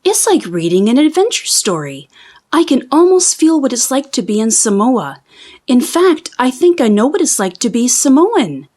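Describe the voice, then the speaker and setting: Woman reading as an animated, admiring bookworm. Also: on the high side, normal speed. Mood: excited, admiring. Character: enthusiastic, admiring readers of an adventure book.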